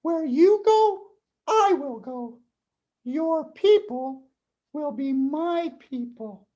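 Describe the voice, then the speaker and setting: A woman voicing a devoted, gentle young daughter-in-law in a puppet show, speaking earnestly and deliberately. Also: high voice, expressive, dull audio, heavily acted. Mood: pleading, resolute. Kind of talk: children's puppet show dialogue.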